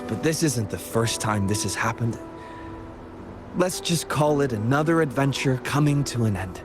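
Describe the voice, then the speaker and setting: An actor playing an earnest, quietly devoted adventurer in a video game, sincere and subdued. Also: male voice, mid-range voice, animated, normal speed, over music, close to an everyday voice. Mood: reflective, resigned.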